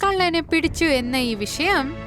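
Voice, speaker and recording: A woman narrating an animated moral story in a simple, colloquial way, with background music. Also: high-pitched, measured pace.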